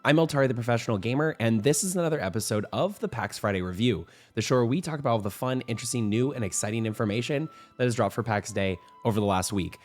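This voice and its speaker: A young man hosting a weekly game news show, a little hoarse from a cold, talking in an upbeat, rambling way. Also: mid-range voice, animated, fast, hardly any pauses. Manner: upbeat, rambling, with frequent restarts and fillers. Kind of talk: casual game news commentary.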